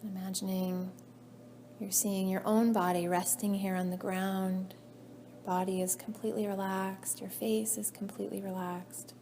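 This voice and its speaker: A woman leading a guided meditation as a yoga nidra teacher, soothing and measured, her phrases trailing off softly. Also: mid-range voice, level tone. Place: indoor class room. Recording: faint background noise, live class recording.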